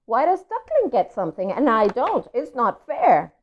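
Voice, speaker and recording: A woman's voice: on the high side, wildly swooping pitch, moderate pace, voice pushed up. A woman, a native Spanish speaker, voicing a grumpy, suspicious male storybook pigeon who complains with attitude. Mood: indignant, complaining. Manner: loud, exaggerated complaining. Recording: dull lo-fi audio.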